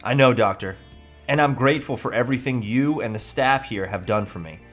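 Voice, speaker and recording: A man's voice: mid-range voice, brisk, faint music behind, close to an everyday voice. A man reading the part of an earnest, grateful family man recovering from addiction in a script read. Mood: hopeful, anxious. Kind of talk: amateur table read.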